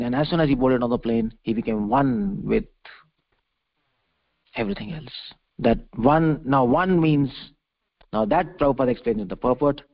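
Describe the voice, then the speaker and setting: A man delivering a religious lecture as a preacher, explaining scripture emphatically in halting, repeated phrases over a conference call. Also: medium pitch, vocal fry. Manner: emphatic, repetitive, halting phrases with restated words. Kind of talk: religious discourse, explaining a verse. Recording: dull conference-call audio.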